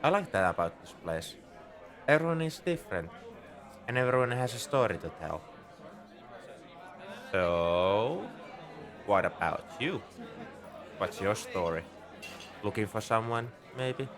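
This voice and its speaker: A man's voice: on the low side, lively intonation, measured pace, pauses often, over music. A man playing a shrewd, easygoing bartender at a space outpost in a conversational, knowing ASMR roleplay monologue. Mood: friendly, probing. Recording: crowd chatter behind the voice. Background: bar crowd murmur.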